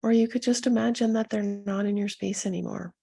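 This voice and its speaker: A woman leading a guided meditation as a coach, speaking softly and soothingly to her listeners with a gentle, husky delivery. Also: medium pitch, fairly even intonation. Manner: soothing, gentle, unhurried instruction with pauses.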